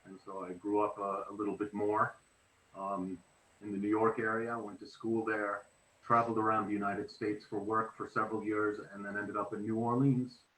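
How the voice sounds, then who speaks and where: A man's voice: lowish voice, level tone, moderate pace, a bit bright, slight background noise. A middle-aged man from South Africa talking as a guest on a video call, hesitant and restarting his sentences. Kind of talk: casual interview chat. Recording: echoey room.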